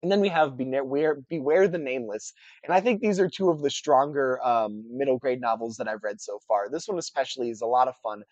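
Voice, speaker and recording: A man's voice: medium pitch, fairly quick, no room sound, dull audio. A man with a congested, nasal voice casually reviewing books he read, chatty with lots of fillers. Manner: relaxed, chatty, filler-heavy with restarts. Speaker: book reviewer. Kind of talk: casual book review vlog.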